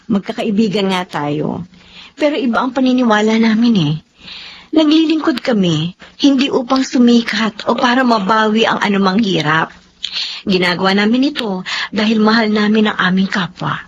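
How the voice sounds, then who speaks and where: An actress plays a devout, gently firm woman doctor in a radio drama. She is earnest and polite, with lively intonation, on a slightly dull recording with faint noise. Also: mid-range voice, normal speed, natural voice. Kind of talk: Filipino radio drama acting.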